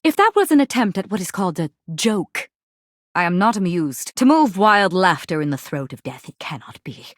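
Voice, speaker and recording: A woman playing a proud, brooding, war-weary commander in a video game, speaking in archaic, poetic lines with solemn pauses and heavy melodrama. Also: mid-range voice, no echo, clean studio sound, natural voice. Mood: cold, unamused. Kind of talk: dramatic video game character voice acting.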